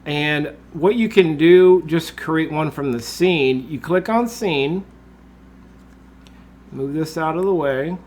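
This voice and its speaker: A man, congested from a cold, talking through a screen-recorded software tutorial in a relaxed way, with lots of uh and um. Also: a little high, takes it slowly. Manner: relaxed, with frequent uh and um fillers. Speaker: tutorial host.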